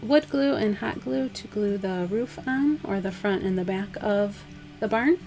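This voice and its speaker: A woman with a slightly hoarse, dry voice, casually talking through a DIY craft project step by step. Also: medium pitch, measured pace, faint music behind. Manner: relaxed, chatty, step-by-step instructions with fillers. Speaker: craft vlogger. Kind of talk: casual DIY tutorial voice-over.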